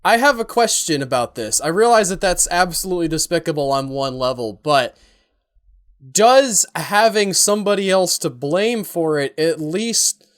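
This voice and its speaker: A male podcast co-host with a moderately high-pitched voice. He speaks in a casual, conversational way, reacting to the discussion and putting questions to his partner.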